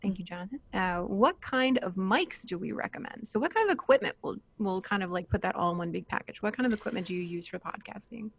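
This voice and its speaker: A woman's voice: medium pitch, animated, fast, gravelly, hardly any pauses, husky. A woman moderating a casual panel talk, giving advice in an informal, chatty, encouraging way. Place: live panel discussion.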